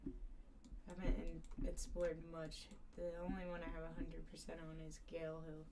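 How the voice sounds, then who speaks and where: Low-pitched woman livestreaming, distant mic. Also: monotone, moderate pace, background noise. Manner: rambling, thinking aloud while playing. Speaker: game streamer. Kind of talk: casual livestream gaming chat. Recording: distant mic under loud game music. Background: loud video game music.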